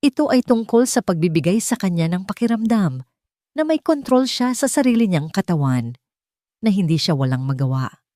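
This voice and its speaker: A woman's voice: medium pitch, very animated, normal speed, warm tone, no echo, studio quality, natural voice. A woman with a Filipino accent voicing a firm, earnest, protective mother in an audiobook story. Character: firm, protective mother standing up for her child.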